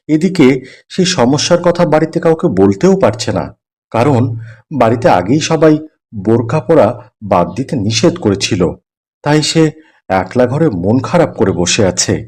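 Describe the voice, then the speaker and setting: A man's voice: medium pitch, darkish tone. A man narrating a scripted moral story as its storyteller.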